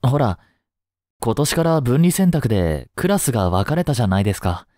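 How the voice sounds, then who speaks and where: A man playing a caring, easygoing high school teacher in a voice drama, speaking conversationally with gentle concern. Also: big pitch swings, moderate pace, no room sound, studio quality, lightly acted. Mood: worried, polite. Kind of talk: scripted Japanese voice drama acting.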